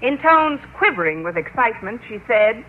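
An actress playing a wry, self-deprecating high school English teacher with a dry, sardonic delivery, narrating and acting in old radio comedy style. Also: female voice, very animated, moderate pace. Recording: tinny, hissy old-time radio broadcast.

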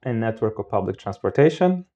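Man, low pitch, very mellow tone, casual interviewee, halting word choice. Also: big pitch swings, dull audio. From Moldova. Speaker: podcast guest.

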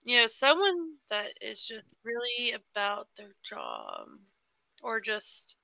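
A woman co-hosting a podcast, chatting casually with slow, careful, hedging phrasing. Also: on the high side, wildly swooping pitch, gravelly, bright, forceful tone, rough recording. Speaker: podcast co-host. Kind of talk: casual chat.

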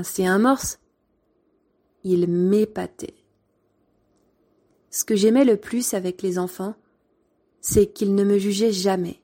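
A French woman with a light Angevin accent, a former schoolteacher gently narrating her own classroom memories. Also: mid-range voice. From France. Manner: gentle, unhurried storytelling. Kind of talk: first-person story narration.

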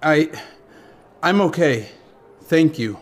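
A man's voice: fairly high pitch, unhurried, a bit bright. A man voicing a proud but unsure young boy hero in a story, earnest and guarded.

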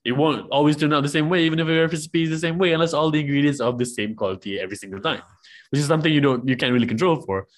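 A man in casual chat, laid-back and animated, swearing freely as he tells a story, who grew up in Malaysia. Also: fairly high pitch, very fast.

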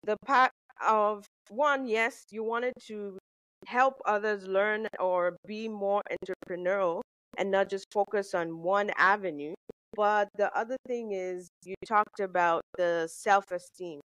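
Woman co-hosting a podcast, mid-range voice, measured and thoughtful, dry close recording. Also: medium pitch, a bit bright, dry recording, poor audio. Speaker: podcast co-host. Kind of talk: casual interview talk.

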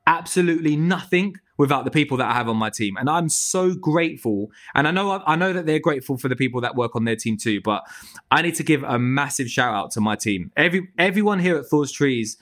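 Excitable business owner chatting. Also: male voice, fairly high pitch, brisk. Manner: animated, swears freely, lots of restarts. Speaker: tree surgery business owner. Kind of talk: casual podcast chat.

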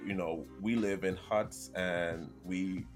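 A man from the Bahamas with a thick Bahamian accent, hosting a podcast in animated, laughing chat. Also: low voice, slow pace, soft background music. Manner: animated, laughs while talking. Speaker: podcast host.

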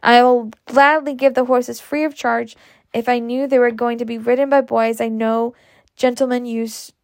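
A blunt and boastful storybook matron of the old South, read out by a woman. The voice is on the high side with some creak at the edges, and it talks on emphatically, proud and stubborn, very close and dry. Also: flat delivery, moderate pace, dry recording, natural voice. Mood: indignant, stubborn. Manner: emphatic, talkative.

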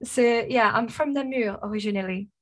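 A woman from Belgium with a French accent, speaking as a guest in an interview, measured and with frequent fillers. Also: fairly high pitch. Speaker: guest, sustainability consultant. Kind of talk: conversational interview.

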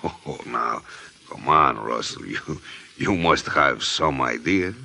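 A very deep-voiced gangster from a 1950s radio crime drama. His delivery swoops and stays sly and unhurried, with a bright edge and quiet menace. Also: animated, gravelly, heavily acted. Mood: sly, menacing. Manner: sly, unhurried, softly threatening. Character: smooth, menacing male gangster client with money.

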